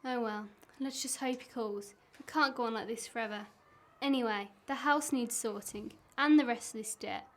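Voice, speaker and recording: A woman's voice: a little high, normal speed, lightly acted. A woman playing a weary, worried wife with money troubles, resigned, in an amateur radio play.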